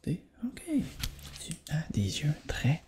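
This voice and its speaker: A man's voice: fairly high pitch, big pitch swings, slow pace. Whispering man with a Quebec French accent. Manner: soft, hushed, reassuring. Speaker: ASMR roleplayer acting as an eye examiner. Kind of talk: whispered ASMR roleplay.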